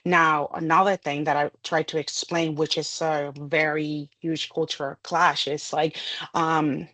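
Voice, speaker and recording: Low voiced woman from puerto rico, psychologist, thoughtful rambly answers, dry close sound. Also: little pitch movement, a little slow, fairly bright tone, dry recording. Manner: thoughtful, many fillers and asides. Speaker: psychologist guest. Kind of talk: interview answers.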